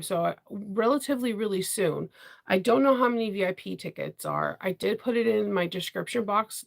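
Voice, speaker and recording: A woman's voice: medium pitch, vocal fry, fairly bright tone. A woman hosting a casual sewing chat with her viewers, congested and rambling, often laughing. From Washington DC area. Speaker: sewing channel host.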